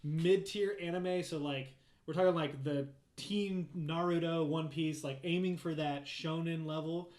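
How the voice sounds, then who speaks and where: A man's voice: fairly high pitch, fairly even intonation, measured pace, darkish tone. A man co-hosting a podcast, chatting casually about games in a round-table discussion. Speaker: podcast co-host. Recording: echoey room.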